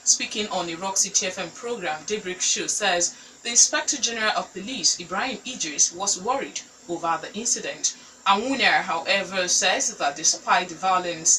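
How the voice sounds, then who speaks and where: A woman's voice: mid-range voice, sharp tone, distant mic, slight background noise, low-quality recording. A woman with a Nigerian accent reading scripted news as a newsreader.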